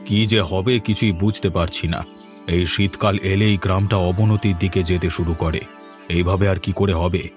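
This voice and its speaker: A man's voice: low-pitched, fairly even intonation, moderate pace, soft background music, lightly acted. An older man voicing a worried, dismissive village farmer and uncle. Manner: brushing off, fretful.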